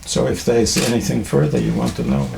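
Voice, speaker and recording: An older man from Czechoslovakia whose first language is German, telling students about his early life in a halting way, with many fillers and restarts. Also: mid-range voice, sing-song, vocal fry, a little mellow, a little hiss or hum. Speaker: Holocaust survivor speaking to students. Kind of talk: testimony to a school class.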